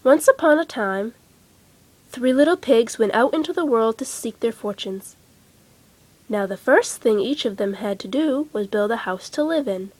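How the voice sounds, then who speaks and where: A woman narrating children's bedtime stories with expressive, dramatic and emphatic phrasing. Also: fairly high pitch, moderate pace, dry recording. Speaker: storyteller.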